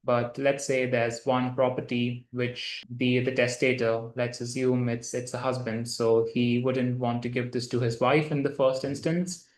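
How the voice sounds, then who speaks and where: Male lawyer, monotone and matter-of-fact, roomy echo. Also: mid-range voice, unhurried, few pauses. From grew up in India. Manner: steady, matter-of-fact, with occasional fillers. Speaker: lawyer, guest. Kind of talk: explanatory interview answers. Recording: echoey room.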